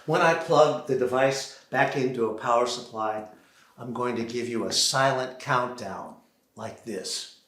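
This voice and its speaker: An American man performing a theatrical solo monologue, deliberately acting out one side of a phone call on a theater stage. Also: medium pitch, fairly bright tone. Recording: roomy, echoing live theater sound.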